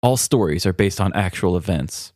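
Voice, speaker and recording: Low-voiced man chatting as podcast host. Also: lively intonation, normal speed, a little mellow. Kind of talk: casual storytelling chat.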